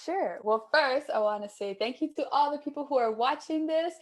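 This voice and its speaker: Guest: chatty woman, bright and bubbly, a touch high. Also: normal speed. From Hawaii. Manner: bubbly, chatty, laughs easily. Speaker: guest, singer and farmer. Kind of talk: casual chat between friends.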